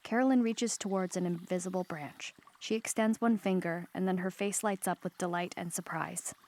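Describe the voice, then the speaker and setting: A woman's voice: lowish voice, fairly even intonation, normal speed, a little mellow. A woman reading stage directions as scripted narration.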